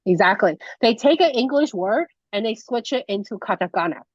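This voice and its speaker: A woman's voice: on the high side, animated, a little fast, no room sound. A young woman from Taiwan whose first language is Mandarin, teaching in a casual, chatty way with lots of fillers and restarts. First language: Mandarin Chinese. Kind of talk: casual conversational lesson. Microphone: video call. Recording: dull lo-fi video call audio.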